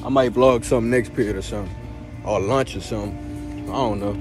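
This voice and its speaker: A man's voice: fairly low pitch, quick pace, a little mellow, soft background music. A teenage boy vlogging on his way to class and joking through interviews, talking loose and slangy and laughing often. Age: teens. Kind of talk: casual vlog chat and joking interviews. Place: school hallways and grounds.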